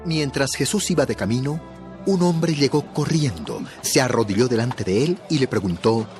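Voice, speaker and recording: A man narrating a dramatized Bible story in a scripted, measured storytelling manner. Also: medium pitch, expressive, music in the background.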